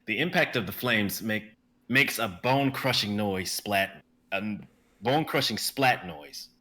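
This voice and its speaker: A young man narrating stage directions in a live script reading, brisk and vivid, with the odd stumble. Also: on the low side, pushed and bright. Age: young adult.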